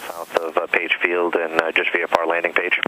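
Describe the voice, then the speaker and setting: A man's voice: sits low, completely flat delivery, fairly quick. A man working as an air traffic controller, giving clipped procedural instructions over aviation radio. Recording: noisy aircraft radio transmission.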